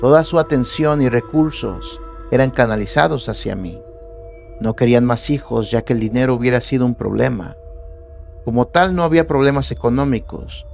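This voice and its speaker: Man reading horror tales over soft music. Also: mid-range voice. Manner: measured, somber reading. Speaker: horror story narrator. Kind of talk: scripted horror narration. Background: faint background music.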